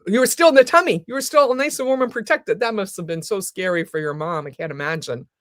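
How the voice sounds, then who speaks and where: A woman's voice: medium pitch, fast talker, fairly bright tone, no room sound. Female interview host, effusive delivery, mid-range voice, close dry sound. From military family, lived partly in Germany as a child. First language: German. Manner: effusive, exclaiming. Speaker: talk show host. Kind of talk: live interview before an audience.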